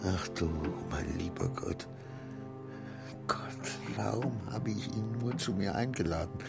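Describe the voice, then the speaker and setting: A man's voice: lowish voice, animated, unhurried, vocal fry, loud music behind the voice, full character voice. A man in a radio drama playing an anxious, self-berating petty clerk who mutters to himself and swings into outbursts. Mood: despairing self-reproach.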